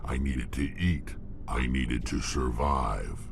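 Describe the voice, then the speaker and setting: Extremely deep, gravelly hulking alien brute, slow and blunt, game score behind. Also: male voice, heavily acted. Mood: gruff. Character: old hulking male alien warlord, gruff and blunt. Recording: game audio with music under it.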